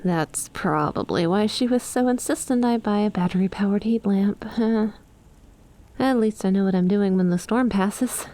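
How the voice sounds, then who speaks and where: A woman's voice: medium pitch, moderate pace, mellow, close to an everyday voice. A woman playing an affectionate young snake-girl best friend in an ASMR roleplay, teasing and chummy as she talks to the listener. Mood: sincere, chatty.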